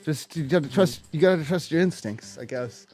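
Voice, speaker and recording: A man hosting a live prank call show, chatting casually in a rambling, halting way and often repeating his phrases. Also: a little high, lively intonation, over loud music.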